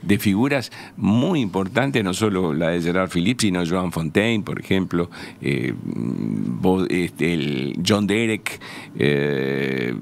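Deep-voiced older Uruguayan man. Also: animated, takes it slowly, gravelly. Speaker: novelist, interview guest. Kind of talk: conversational radio interview, reminiscing. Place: small radio studio. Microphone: close studio microphone on a stand.

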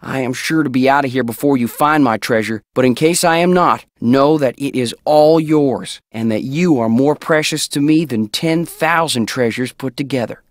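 An actor playing an earnest, tender Old West outlaw in a video game, reading his letters aloud in a folksy, deliberate way. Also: mid-range voice, dry recording, studio quality, close to an everyday voice. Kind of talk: acted reading of love letters.

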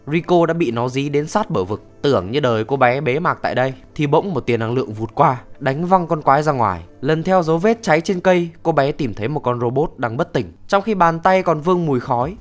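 A man narrating a scripted comedic recap in a slangy, tongue-in-cheek storytelling manner. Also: medium pitch. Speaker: anime recap reviewer. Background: background music.

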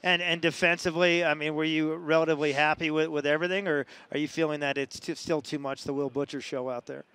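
A man hosting a post-game radio interview, asking long, halting questions. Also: a little high, steady tone, a bit bright, clean tone. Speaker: sports radio host.

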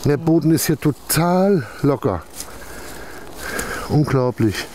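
A man's voice: a little high, lively intonation, takes it slowly. A man chatting casually on location outdoors, explaining things, repeating himself and often checking for agreement. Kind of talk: casual on-location commentary in conversation. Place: outdoors in woodland on soft ground. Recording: outdoor field recording with background noise.

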